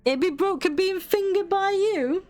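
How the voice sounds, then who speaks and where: A woman's voice: very high-pitched, unhurried, darkish tone, faint music behind. A British woman streamer reading a bedtime story aloud, with cheeky, playful asides.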